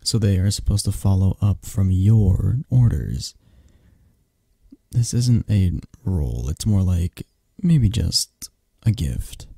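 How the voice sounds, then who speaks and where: A man acts out a controlling yet protective mafia boss boyfriend for an ASMR romance roleplay. His voice is low and husky, and he takes his time over each phrase. He coaxes and commands softly, close to the listener. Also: lively intonation, dry recording, full character voice. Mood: possessive, firm. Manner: unhurried, commanding, coaxing, close and soft.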